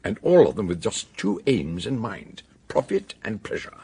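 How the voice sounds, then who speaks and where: Older sleuth for radio play, raspy, blustery, eccentric, voice swoops all over. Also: male voice, on the low side, big pitch swings, moderate pace, dark tone, heavily acted. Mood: intrigued, emphatic. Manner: theatrical, emphatic, exclamatory. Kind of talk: radio drama acting.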